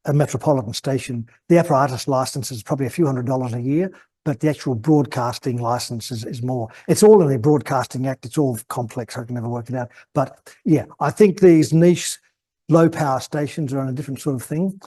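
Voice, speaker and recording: An Australian man, probably over fifty, giving an informal technical talk at a club meeting, halting and rambling as he repeats words mid-thought. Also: medium pitch, dark tone, husky. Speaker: guest speaker at an amateur radio club meeting.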